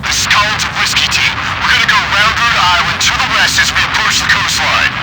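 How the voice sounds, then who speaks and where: An actor playing a brisk, wisecracking army sergeant talking over military radio in a video game. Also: male voice, sits high, natural voice. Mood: businesslike. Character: wisecracking male army sergeant leading a landing team. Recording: loud music, aircraft and battle noise.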